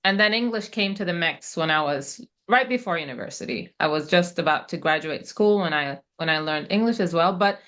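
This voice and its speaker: A middle-aged woman who speaks Persian natively, a guest answering interview questions in a thoughtful, hesitant way with many pauses. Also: on the low side, fairly bright tone. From Middle East. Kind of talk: relaxed conversational interview.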